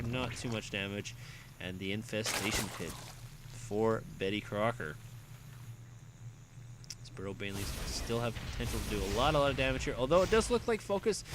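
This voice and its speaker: A young man with a raspy voice calling a strategy game alone, excitable and quick to shout when fights break out. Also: fairly low pitch, background noise. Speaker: esports caster. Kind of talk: solo play-by-play game commentary.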